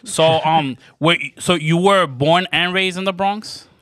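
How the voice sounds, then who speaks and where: Bright, crisp podcast co-host. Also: male voice, fairly high pitch, slow, dry recording, clean studio sound. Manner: rambling questions, many fillers. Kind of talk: casual podcast interview.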